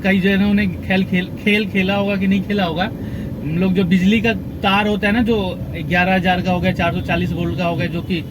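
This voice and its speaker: A man's voice: high voice, little pitch movement, a little fast, background noise. A young man from Bihar, a taxi driver, chatting through his day's rides and fares in a casual vlog from inside his car. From Bihar, India. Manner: chatty, running through fares and waits in detail. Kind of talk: casual driving vlog talk. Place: in a car, city traffic.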